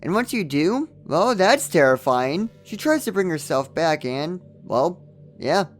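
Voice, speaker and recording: A man's voice: a little high, very animated, a little slow. A man narrates a scripted game review as the host of the video. He is wry and jokey, poking fun at himself in comedic asides. Music plays behind his voice. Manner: wry, joking, self-deprecating.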